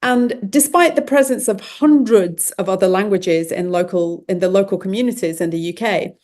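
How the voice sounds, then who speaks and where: A woman hosting a conference reads a prepared introduction for the next speaker. Her voice is mid-pitched and her delivery plain and scripted. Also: normal speed, a little mellow. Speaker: conference host.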